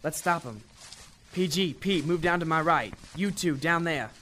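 A commanding American army officer, acted by a man, with a high, bright voice and terse, gruff delivery. He barks orders in a World War II radio drama, over faint battlefield ambience. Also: normal speed, a little hiss or hum. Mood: hushed, alert.

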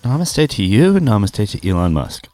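A man's voice: fairly low pitch, big pitch swings, normal speed, dark tone, no room sound. A man co-hosting a casual comedy podcast, tossing in wisecracks and mock-grandiose asides. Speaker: podcast co-host.

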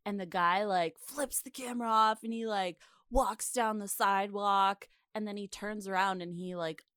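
A woman's voice: medium pitch, fairly even intonation, normal speed, fairly bright tone, clear, resonant tone, dry recording, studio quality. A young adult woman co-hosting a podcast in casual chat, with an informal, slangy and exclamatory way of talking. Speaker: podcast co-host.

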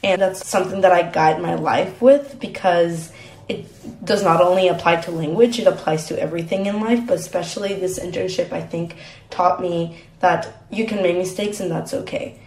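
A woman's voice: fairly low pitch, normal speed, darkish tone, slight background noise. A woman whose first language is Spanish, answering interview questions in a reflective, easygoing way and laughing now and then. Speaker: interview guest. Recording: roomy echo.

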